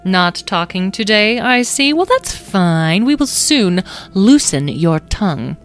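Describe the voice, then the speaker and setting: A woman voicing a tiny, formal fairy who speaks in precise, elaborate phrases, with soft music behind. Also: on the low side, lively intonation, unhurried. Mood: commanding.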